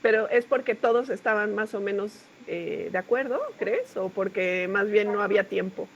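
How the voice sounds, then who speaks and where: A woman's voice: medium pitch, moderate pace, lo-fi sound, a little hiss or hum. A middle-aged woman with a Mexican accent teaching a class in an informal, discursive, conversational way, often checking in with short tag questions. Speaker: university lecturer.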